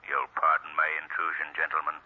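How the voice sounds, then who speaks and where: Mysterious invisible avenger: calm, menacingly courteous, husky, phone-thin 1940s radio sound. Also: male voice, very deep voice, narrow, tinny audio. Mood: sinister politeness. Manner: measured, suave, interrogating.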